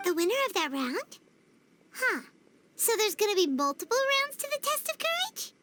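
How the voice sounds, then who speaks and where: A woman voicing a small, excitable, childlike fairy companion in a video game, squeaky and bubbly. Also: moderate pace, heavy background noise. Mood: curious. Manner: bubbly, refers to self in third person. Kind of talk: animated video game voice acting. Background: playful background music.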